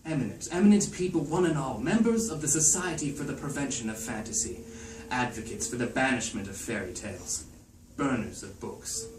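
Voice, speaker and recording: A flamboyant, vengeful host of a haunted mansion, as a man reads him out, declaiming with grand theatrical sweeps. His pitch climbs and dives all over the place. The sound is roomy, as if picked up from across the room, with a faint hiss. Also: distant mic, close to an everyday voice.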